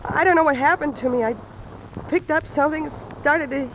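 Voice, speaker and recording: Female, American, actress playing a resigned, troubled young woman; halting, intense vintage radio acting, muffled and noisy recording. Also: sits high, close to an everyday voice. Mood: anguished. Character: fatalistic, desperate young woman addict confessing. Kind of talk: 1950s radio drama acting.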